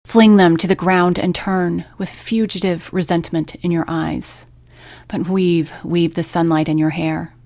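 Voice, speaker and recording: Low-voiced woman reading poetry on radio. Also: fairly even intonation, measured pace, darkish tone, no room sound, studio quality. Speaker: host of a poetry radio program. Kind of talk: scripted presenting and poetry reading.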